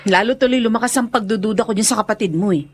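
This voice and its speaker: A woman playing a suspicious, impatient and sharp-tongued wife in a melodramatic radio drama. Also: on the high side, quick pace, a little hiss or hum, lightly acted. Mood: suspicious, insistent.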